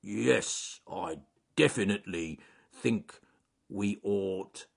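A man voicing a slow-witted, well-meaning bear who dithers and halts over his words in a children's story. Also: on the low side, vocal fry, exaggerated voice. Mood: dithering.

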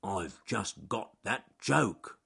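Guy doing a big strong bear, slow careful talk, low voice. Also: expressive, gravelly, sharp tone, slightly rough, exaggerated voice. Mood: pleased.

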